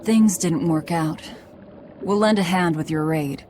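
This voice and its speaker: A woman voicing a grim, hard-bitten young outlaw in a video game, curt and deadpan. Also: fairly low pitch, measured pace, slight background noise, lightly acted. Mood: curt, bitter.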